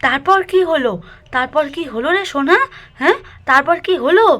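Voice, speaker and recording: A woman voicing a homely, gently wise village mother-in-law in a doll cartoon story, chatty and repeating phrases. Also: high-pitched, lively intonation, moderate pace. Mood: curious.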